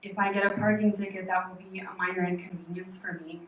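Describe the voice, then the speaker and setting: A woman from Oklahoma giving a prepared public comment at a meeting, earnest, measured and firm. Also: mid-range voice, flat delivery, muffled recording. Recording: echoey hall, distant mic, faint noise.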